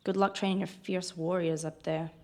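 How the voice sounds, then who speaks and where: An actress playing a brazen, sharp-tongued female rogue in a fantasy audio drama, with a blunt, taunting, sardonic manner. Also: on the low side, flat delivery, moderate pace, close to an everyday voice.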